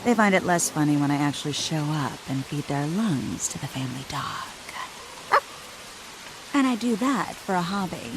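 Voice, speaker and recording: Low, taunting, sadistic killer. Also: female voice, expressive, background noise. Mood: mocking menace. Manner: taunting, sardonic, deliberate. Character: menacing, sadistic female killer, gleefully taunting her victims. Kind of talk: video game voice acting.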